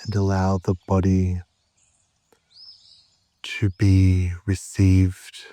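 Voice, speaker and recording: A man guiding a meditation in a calm, measured way, with short phrases, long pauses and a soft, at times breathy voice. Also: low-pitched. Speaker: yoga nidra meditation guide. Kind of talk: slow guided meditation narration.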